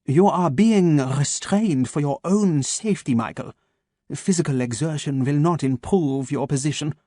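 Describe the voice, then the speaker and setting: Man putting on a cold calculating scientist, crisp German accent, slow clipped delivery, dry close sound. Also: on the high side, warm tone, dry recording, voice pushed up. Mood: clinical. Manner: slow, measured, coldly formal. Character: cold calculating male scientist, middle-aged.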